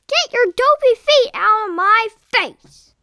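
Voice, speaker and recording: Very shrill, squabbling bear cub. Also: animated, slow, dry recording, clean studio sound, heavily acted. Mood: angry. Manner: shouting, whiny complaining. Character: angry young bear cub siblings, squabbling and snappy. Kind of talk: children's storybook read-aloud.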